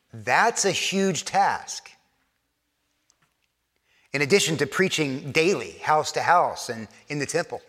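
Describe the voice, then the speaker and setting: A man from Texas, a pastor preaching a sermon to his church, talking fast and stumbling over repeated words, his Texan accent worn faint by years in New York. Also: mid-range voice, pushed and bright, big-room sound.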